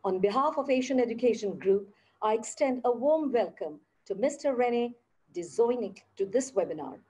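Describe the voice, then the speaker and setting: A woman's voice: fairly high pitch, lively intonation, moderate pace, darkish tone, slightly rough. Woman giving a formal introduction. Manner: formal, reading from notes. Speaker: event host. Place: stage with podium.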